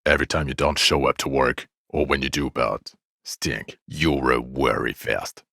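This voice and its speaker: Game role: exasperated, sarcastic cop, male, gravel-throated with heavy fry, contemptuous weary scolding, close dry studio sound. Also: extremely low pitch, fairly even intonation, moderate pace, sharp tone, no room sound, exaggerated voice. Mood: weary sarcasm. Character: bitter, exasperated, foul-mouthed male police detective, scathingly sarcastic. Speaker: video game voice actor. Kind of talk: video game character dialogue.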